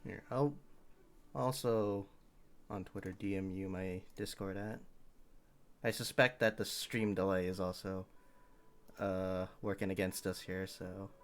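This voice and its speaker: A man's voice: deep voice, fairly even intonation, unhurried, pauses often. A man livestreaming a game, chatting casually to his viewer. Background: game music.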